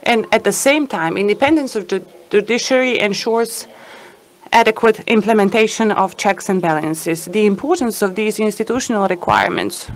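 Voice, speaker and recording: A woman's voice: mid-range voice, moderate pace, poor audio. A woman giving live simultaneous interpretation of a conference talk, hesitant with fillers and an uneven pace.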